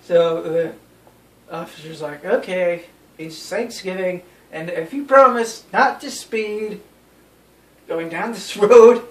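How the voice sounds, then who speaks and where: A man's voice: high-pitched, slow. Young man telling a story, roomy and distant. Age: young adult. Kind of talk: casual anecdote among friends. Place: small bedroom, indoors. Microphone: phone camera a few feet away. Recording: roomy, distant phone mic with noise.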